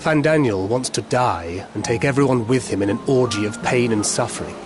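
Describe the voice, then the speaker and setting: Guy voicing a wry worldly thinker type, mid-pitched, articulate n reflective, game cutscene with music going behind him. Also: brisk, close to an everyday voice. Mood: reflective, grave. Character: wry, worldly man, philosophical and quietly resolute. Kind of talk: video game cutscene acting.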